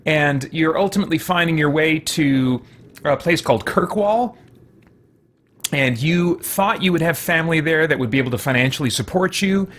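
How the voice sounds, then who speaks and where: A man's voice: fairly high pitch, moderate pace, a bit bright. A man giving casual livestream gameplay commentary, rambling along, often correcting himself and poking fun at himself. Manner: rambling, self-correcting, self-deprecating. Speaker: game streamer and host.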